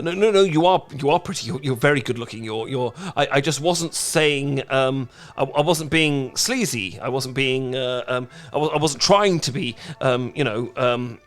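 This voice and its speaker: A man playing an awkward, anxious, smitten British dork in a romantic ASMR roleplay, stammering and apologetic as he goes. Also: medium pitch. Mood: flustered, bashful. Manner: stammering, flustered, halting, apologetic.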